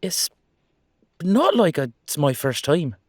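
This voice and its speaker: A man's voice: a little high, sing-song, slow pace, a little mellow, dry recording, studio quality, full character voice. A man playing a proud, defensive supernatural being with a courteous, formal and insistent manner in a roleplay audio drama. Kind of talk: roleplay audio drama, one-sided conversation.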